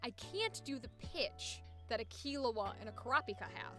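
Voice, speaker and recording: A young woman with a high-pitched voice, chatting casually about voice acting on a live stream and now and then trying out squeaky character voices. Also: animated, moderate pace, fairly bright tone, faint music behind. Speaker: voice actor streaming.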